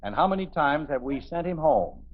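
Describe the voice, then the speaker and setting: A man's voice: fairly high pitch, very animated, brisk. An American actor playing an exasperated, sarcastic suburban father in a 1950s radio comedy. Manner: sarcastic, grumbling. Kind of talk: 1950s radio sitcom acting. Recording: muffled 1950s radio recording.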